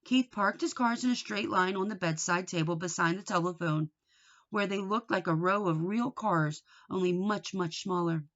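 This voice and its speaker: A woman reading a children's book aloud in an expressive storytelling manner. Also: on the low side, moderate pace, muffled recording. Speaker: storybook reader.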